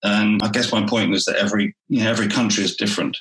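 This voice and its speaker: A man who is a theatre director, talking through his work in a relaxed interview and often restarting his phrases. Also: lowish voice, fast, roomy sound, low-quality recording.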